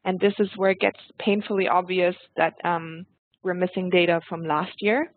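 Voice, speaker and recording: German woman presenter, friendly tutorial manner, slightly low pitch, dry phone-quality webinar audio. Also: fairly even intonation, normal speed, dry recording. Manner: friendly, explanatory, addresses audience directly. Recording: dry tinny phone-like line.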